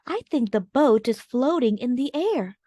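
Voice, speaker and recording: A woman voicing a shy little girl in a new home, speaking softly and anxiously. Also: high voice, expressive, unhurried, no echo, studio quality. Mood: curious. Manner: soft, worried, wistful.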